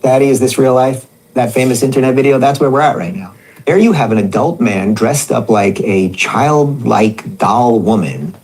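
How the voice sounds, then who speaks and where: A man's voice: mid-range voice, normal speed, darkish tone, low-quality recording. Guy on the show sounding fed up and blunt, mid-range voice, swears a bit. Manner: incredulous, blunt, crude. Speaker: guest commentator. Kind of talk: casual chat commentary.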